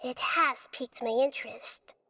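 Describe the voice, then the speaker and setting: An actress playing a small, wise young goddess in a video game, composed, formal and quietly firm. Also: female voice, high voice, vocal fry, soft background music, full character voice. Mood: composed, resolute. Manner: measured, formal, self-assured. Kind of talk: scripted video game cutscene dialogue.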